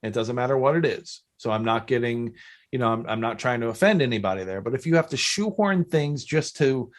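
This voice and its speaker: A man's voice: medium pitch, expressive, fairly quick. A man hosting a podcast, chatting casually in a salty, opinionated and effusive way. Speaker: podcast host. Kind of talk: casual interview chat.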